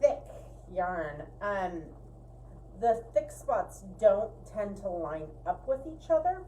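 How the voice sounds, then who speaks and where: A woman's voice: medium pitch, sing-song, takes it slowly, mellow, slight background noise, rough recording. A woman co-hosting a livestream, giving a casual craft tutorial in a chatty, emphatic way. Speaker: co-host of a fiber arts livestream. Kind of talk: casual spinning tutorial chat.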